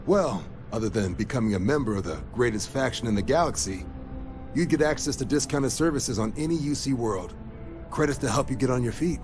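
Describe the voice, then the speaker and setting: A man's voice: medium pitch, expressive, a little fast, music in the background, close to an everyday voice. A male actor playing an assured military recruiter in a space game, with a folksy, persuasive manner. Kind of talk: video game dialogue.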